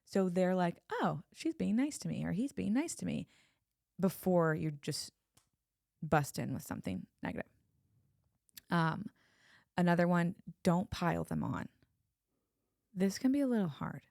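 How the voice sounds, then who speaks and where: A woman's voice: on the low side, very animated, a little slow, creaky, long pauses, darkish tone, no echo, studio quality. A woman co-hosting a podcast, giving advice in a thoughtful, casual chat. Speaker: podcast co-host.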